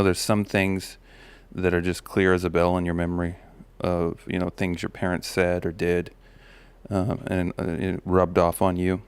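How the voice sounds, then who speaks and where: Man, low steady voice, smooth with slight gravel, reflective host talking, dry close sound. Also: no room sound. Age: adult parent of a daughter. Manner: relaxed, musing, many fillers and restarts. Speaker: podcast host. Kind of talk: reflective personal monologue.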